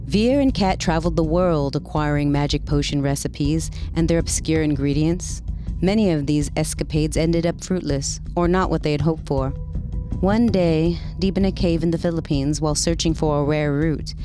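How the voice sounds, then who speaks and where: A woman's voice: low voice, moderate pace, music in the background. A woman narrating a short story as a dramatic reading.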